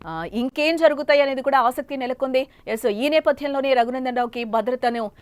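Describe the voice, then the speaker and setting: A woman's voice: sits high, a little fast. A woman anchoring breaking news on television, partly improvised, with an emphatic, repetitive delivery.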